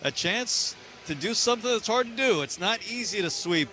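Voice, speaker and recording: A man's voice: high-pitched, lively intonation, normal speed, sharp tone, slight background noise. An American man doing polished baseball radio commentary and announcements as a broadcaster. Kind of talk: polished sports broadcast commentary and promo reads.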